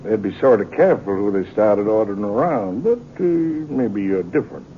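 A menacing outlaw of the old west taunts in a cocky American voice. The sound is thin and tinny, like old radio, with a faint rumble. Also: male voice, lively intonation, moderate pace. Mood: taunting, cool. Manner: mocking, taunting. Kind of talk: 1950s radio western acting.

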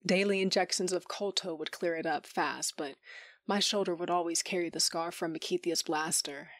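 A woman's voice: lowish voice, steady tone, moderate pace, no echo, lightly acted. A woman playing a tough, sardonic young fighter who tells her own story intimately in an audio drama, sometimes dropping to a whisper. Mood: intimate, wry. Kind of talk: first-person audio drama narration.

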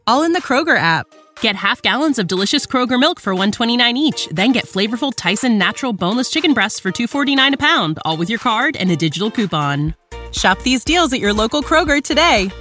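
A woman's voice: fairly high pitch, pushed and bright. A woman reading an upbeat scripted ad. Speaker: ad announcer. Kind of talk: scripted ad read.

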